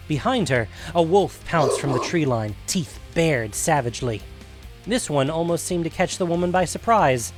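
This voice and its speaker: Man narrating fantasy fiction over soft music. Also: a little high. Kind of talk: dramatic scripted fantasy story narration.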